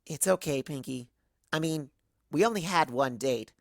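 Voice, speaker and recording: A woman's voice: deep voice, normal speed, creaky, no room sound, studio quality, pitched below the performer's own voice. A woman voicing a tired, bookish young female pony in a subdued, matter-of-fact way, in a story reading. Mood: subdued, sad.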